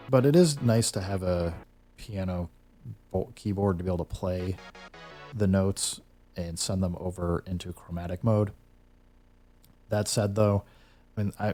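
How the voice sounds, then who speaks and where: A man's voice: sits low, warm tone. A man hosting a casual, unscripted music gear tutorial, rambling a little as he thinks aloud while demonstrating.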